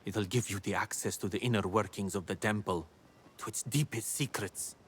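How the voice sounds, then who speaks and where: An actor playing a grave, commanding ancient builder-sage with measured, formal speech in a fantasy video game. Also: male voice, fairly low pitch, breathy edge. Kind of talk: scripted video game quest dialogue.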